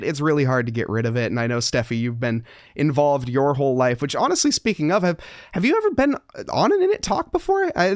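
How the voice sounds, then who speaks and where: Fast-talking upbeat man, dry sound. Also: on the high side, no room sound. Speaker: podcast guest, sim racing commentator. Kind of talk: casual podcast interview.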